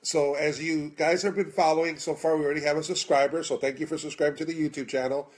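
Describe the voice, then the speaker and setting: An American man in his forties speaks off the cuff to his phone in a room, with a slightly high voice. He sounds earnest and halting as he makes a community appeal, repeating words to stress them. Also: fairly even intonation. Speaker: charity organizer making a video appeal. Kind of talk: casual, unscripted appeal to camera. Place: small indoor room. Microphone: phone at arm's length.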